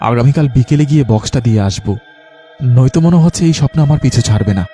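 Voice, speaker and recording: A man's voice: medium pitch, quick pace, mellow, soft background music, lightly acted. A man playing a broke, hungry, brooding street mugger in a cartoon story. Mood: weary, troubled. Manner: grumbling, brooding. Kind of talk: Bengali cartoon voice acting.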